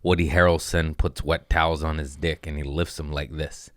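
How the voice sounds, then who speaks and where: A man's voice: very deep voice, level tone, normal speed, no echo, studio quality. A man, a comedian hosting his podcast, talking in a casual, crude, rambling way and breaking off mid-sentence to restart. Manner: crude, rambling, jokes at his own expense. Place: podcast studio.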